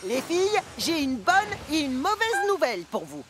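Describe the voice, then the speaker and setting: A woman's voice: sits high, big pitch swings, moderate pace, noisy background. A woman voicing a friendly young male tank engine in a French cartoon dub, explaining things to the coaches.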